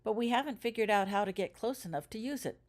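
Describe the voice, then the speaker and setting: Curious schoolgirl witch acted by a woman narrator, cheerful, eager, lifted pitch, storybook character. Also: lively intonation, quick pace, lightly acted. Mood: thoughtful.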